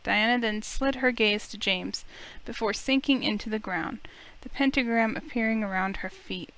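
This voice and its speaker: A woman reading a visual novel aloud as an expressive, dramatic narrator. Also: a little high, moderate pace, rough recording. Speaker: let's play streamer. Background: music in the background.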